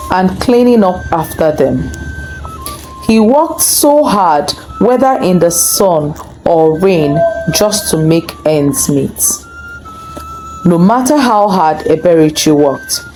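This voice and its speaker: A woman narrating a scripted folktale in an expressive, deliberate storytelling manner. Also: lowish voice, poor audio.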